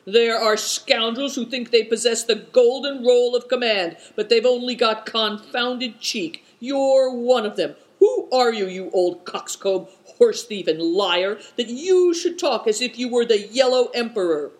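A single reader voicing a hot-tempered young male guardian who shouts insults. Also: mid-range voice, unhurried, few pauses, voice pushed up, close to an everyday voice. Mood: indignant. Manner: indignant, shouting.

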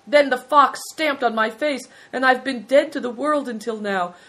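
A woman voicing a frightened, obstinate innkeeper man in a story, whining and groaning in faint, drawn-out complaint. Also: high voice, pushed and bright, voice pushed up. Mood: shaken, relieved.

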